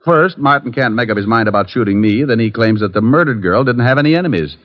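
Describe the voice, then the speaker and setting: An actor playing a wisecracking, unflappable private detective with a flippant deadpan wit in a 1950s radio drama. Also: male voice, mid-range voice, fast talker, natural voice. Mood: reasoning, persistent. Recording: dull old-time radio recording.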